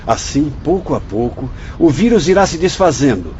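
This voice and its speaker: A Brazilian man acting in a radio drama as a solemn, fatherly spirit doctor who guides visitors through a hospital, speaking formally and didactically in measured phrases. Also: fairly high pitch, sing-song, a little mellow. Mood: grave, instructive. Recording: dull lo-fi audio, faint noise.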